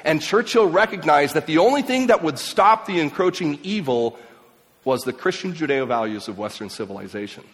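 An emphatic man who grew up in Mexico and Ecuador addresses a live audience. His voice sits a little higher than most men's and swings from forceful to hushed. A long hall reverb trails each phrase. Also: lively intonation, normal speed. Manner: impassioned, emphatic, swings between loud and quiet. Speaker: speaker. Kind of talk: speech to an audience.

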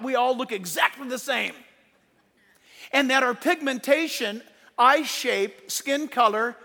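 A man preaching a sermon as pastor, emphatic, rising into loud bursts and dropping low for asides. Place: church sanctuary. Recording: long reverb of a hall.

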